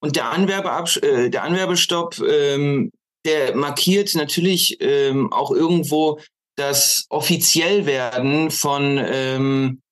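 A man speaks as a guest in a political talk. He is deliberate and halting, often repeating a word as he shapes his argument. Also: on the high side, a bit bright. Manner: halting, deliberate, repeats words while formulating. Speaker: interview guest, author. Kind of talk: political discussion.

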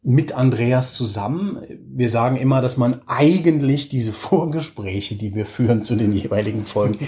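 A man in his forties chatting casually as an interview guest, telling things with animated enthusiasm. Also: medium pitch, moderate pace. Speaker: interview guest, podcaster and audio drama creator.

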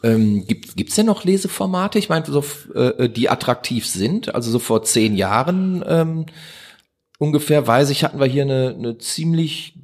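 A man with a mid-pitched voice hosts a casual interview. He builds his questions slowly and searchingly, pausing and restarting as he thinks them through. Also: animated, a little mellow. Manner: searching, hesitant, stretches out questions. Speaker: podcast host, interviewer.

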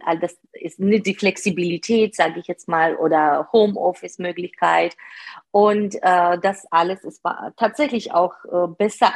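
A woman in her thirties answering interview questions earnestly, hesitating and searching for words with many fillers. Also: fairly low pitch. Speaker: podcast guest, part-time manager.

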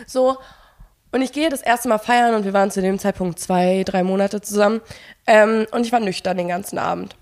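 A young woman co-hosting a podcast, telling a personal story in casual chat and acting out the quoted dialogue. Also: mid-range voice. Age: young adult. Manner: fast, animated, acts out quoted dialogue, frequent fillers. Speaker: podcast co-host.

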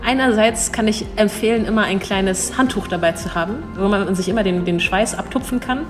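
A young woman answering interview questions in a thoughtful way, hesitating and restarting, quick to laugh. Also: medium pitch, over music. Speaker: cultural attaché at an embassy.

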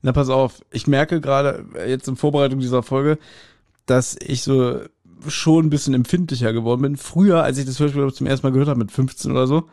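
A man's voice: medium pitch, fairly even intonation, fairly quick, darkish tone, no echo, clean studio sound. A man hosting a podcast, casually recapping a story and acting out quotes as he goes. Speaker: podcast host. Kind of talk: casual chat recapping an audio drama.

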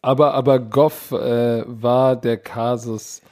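A man co-hosting a podcast in casual sports chat, excitable and slangy, often repeating words. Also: medium pitch, slow. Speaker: podcast co-host.

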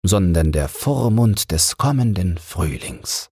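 A knowing storybook raven played by a man. The voice is raised a little and husky, with slow, matter-of-fact delivery. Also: low-pitched, animated, dark tone, no room sound, clean studio sound, exaggerated voice. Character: knowing raven explaining things to sparrows.

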